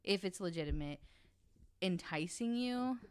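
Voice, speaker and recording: A woman's voice: on the low side, lively intonation, a little slow, smooth. A woman explaining things casually on a podcast, informal and frank in her delivery. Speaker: podcast panelist.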